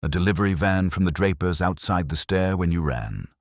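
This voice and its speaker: A man voicing a mild, shrewd police inspector in a mystery story, with deliberate, theatrical phrasing. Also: low voice, no echo, studio quality, lightly acted. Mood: pressing, rhetorical. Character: mild, shrewd male police inspector, calmly exposing culprits. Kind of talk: dramatised mystery story dialogue.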